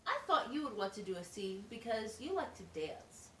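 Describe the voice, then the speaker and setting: Puppet-show schoolteacher, played by a woman: patient, encouraging, animated intonation, explains step by step; echoey, distant recording. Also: mid-range voice, moderate pace. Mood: patient, explaining. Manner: instructional, simple step-by-step explaining with questions. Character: patient, encouraging female special education teacher at wizard school. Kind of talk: amateur puppet show acting. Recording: echoey, distant mic with faint noise.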